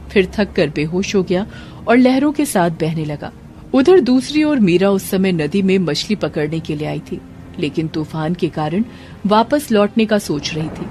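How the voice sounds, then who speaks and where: Animated woman narrating a story. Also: lowish voice, slight background noise. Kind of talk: dramatic scripted cartoon story narration.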